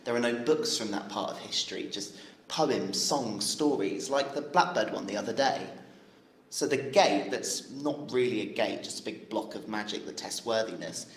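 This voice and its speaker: A man's voice: lowish voice, normal speed, a little hiss or hum, close to an everyday voice. Man playing an eager young man, roomy echo. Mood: storytelling. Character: eager, excitable young man who believes in fairy tales. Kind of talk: audio drama acting.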